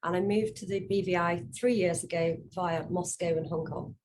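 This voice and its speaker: A woman from the United Kingdom speaks in a slightly low voice, relaxed with a few fillers. Ambient noise is clearly heard behind her. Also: normal speed. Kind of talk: interview answers.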